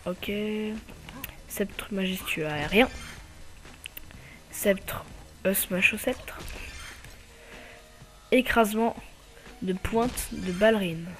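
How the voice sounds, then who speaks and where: A man's voice: high-pitched, fairly bright tone, faint music behind. A man with a slight cold giving casual, hesitant commentary over his own gameplay as channel host. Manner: hesitant, many filler pauses.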